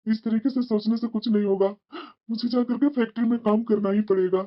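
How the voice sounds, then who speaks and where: A woman's voice: fairly high pitch, fairly even intonation, fairly quick, dull audio, lightly acted. A woman voicing a resentful, weary husband and father in a cartoon family drama, with a complaining manner. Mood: brooding, regretful. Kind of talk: Hindi moral-story cartoon dialogue.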